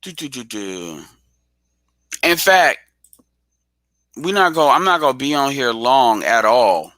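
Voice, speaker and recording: A man's voice: medium pitch, big pitch swings, slow, sharp tone, no room sound. A man hosting a live audio stream in casual chat, blunt and profane, talking straight at his listeners.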